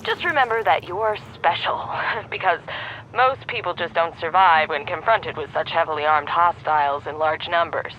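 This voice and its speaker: A woman's voice: on the low side, normal speed, dull audio, slight background noise, close to an everyday voice. A woman playing a morbidly reassuring mission coordinator in a video game, giving grim remarks peppily. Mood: bright, morbid. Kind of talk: video game radio dispatch dialogue.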